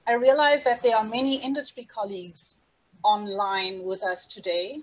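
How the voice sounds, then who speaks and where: A woman's voice: mid-range voice, steady tone, moderate pace, rough recording. A middle-aged woman with a South African accent, presenting a webinar over a video call in an earnest, scripted way with small restarts. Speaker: safari travel specialist hosting a webinar.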